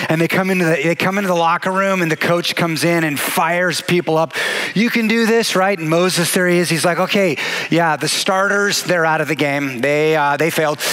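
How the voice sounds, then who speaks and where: A man in his forties, a pastor preaching a sermon on a church stage to his congregation, animated and energetic, acting out the quotes he tells. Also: fairly high pitch.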